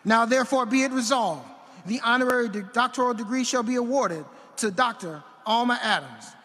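A man reading a formal resolution from a podium microphone in a large indoor arena, measured and ceremonial, with occasional slips. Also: very high-pitched, sharp tone. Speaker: university official presenting an honorary degree. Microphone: podium gooseneck microphone. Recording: long reverb of a big arena.

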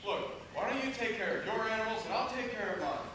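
A young man on stage playing a defiant, soft-hearted animal keeper who answers back with sarcasm and indignation. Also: sits high, quick pace. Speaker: amateur stage actor. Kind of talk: loud school stage acting. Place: theatre stage, distant audience camera. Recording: echoey stage, recorded from far off, hiss.

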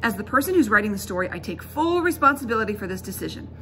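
A middle-aged woman reading a children's book aloud as a teacher, expressive, emphatic and instructive. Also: mid-range voice, racing. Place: indoors in a school classroom. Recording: classroom recording with background noise.

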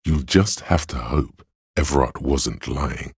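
An actor playing a cool-headed, analytical inner voice of logic in a video game, measured, deliberate and matter-of-fact, with a gravelly, husky bass. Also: male voice, no echo, studio quality, full character voice. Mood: dry, reasoning. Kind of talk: scripted game narration as an inner voice.

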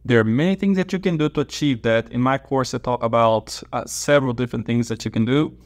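A man's voice: mid-range voice, quick pace. A man in his thirties from Brazil, a native Portuguese speaker, giving advice to camera as a dentist and educator, seated at a desk in a small office on a lapel mic, gesturing with open hands and repeating his main points.

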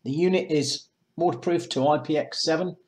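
A man presenting marine electronics in a measured, matter-of-fact way, as in a semi-scripted product demonstration. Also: on the high side, a little mellow. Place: indoors, small room with block walls at a table.

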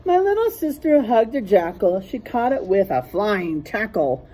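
Expressive, slightly husky woman reading to children. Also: medium pitch, poor audio. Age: middle-aged. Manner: teacherly, pauses to point out rhyming word pairs. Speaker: storyteller reading a children's book aloud. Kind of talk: animated rhyming picture-book read-aloud. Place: small classroom, indoors.

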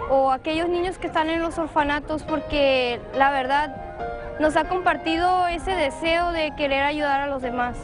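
A woman giving an earnest testimonial as a church member in an interview. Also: high-pitched.

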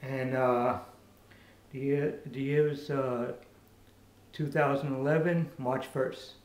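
Halting middle-aged man in an echoey room. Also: medium pitch, fairly even intonation, darkish tone. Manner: hesitant, halting. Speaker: amateur puppeteer. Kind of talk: casual chat to camera. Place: indoors, small room at home. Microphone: camera mic at short distance.

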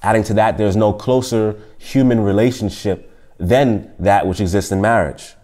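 A man in his thirties teaching a church Sunday school lesson, a little nasal from a cold, measured and fond of asking "right?". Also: lowish voice, warm tone. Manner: measured, repeats "O Lord" and "right?". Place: church meeting room at a table.

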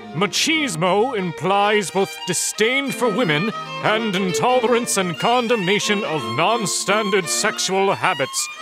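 High-voiced man narrating over music. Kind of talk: scripted narration, solemn reading of numbered points.

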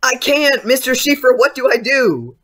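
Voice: high-pitched, expressive, normal speed, fairly bright tone. A performer playing a frightened, defiant young boy in an audio play, questioning and alarmed. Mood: panicked.

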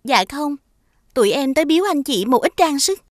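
An actress playing a polite, honest, principled young wife in an animated fairy tale, respectful and earnest. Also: female voice, sits high, brisk, soft background music.

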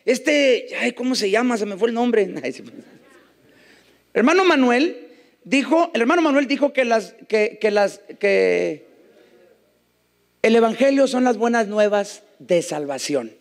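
A man's voice: very high-pitched, expressive, a little slow, creaky, in a large hall. A man, preaching on a church stage and joking with the congregation. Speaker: guest preacher and singer. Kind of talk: sung worship then sermon. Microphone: handheld mic. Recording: loud band music behind. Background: band music during songs.

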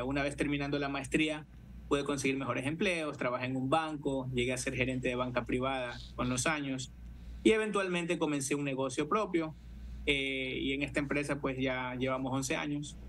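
A man's voice: medium pitch, fairly bright tone. A man in his thirties with a Guayaquil Ecuadorian accent, answering interview questions over a video call. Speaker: interview guest, city councillor. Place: living room, indoors. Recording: noisy video call audio.

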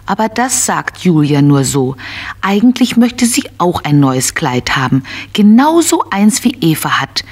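A woman's voice: on the low side, very animated, a little slow, breathy edge. A woman narrating a scripted children's story as part of a radio play.

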